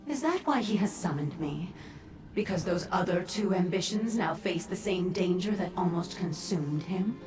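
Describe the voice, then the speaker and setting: An actress voicing a composed female demon in a fantasy game, speaking in a formal, measured and imperious way. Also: on the low side, expressive, natural voice. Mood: composed, commanding. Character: composed female Dremora demon offering dangerous sanctuary. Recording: echoing reverberant voice over music.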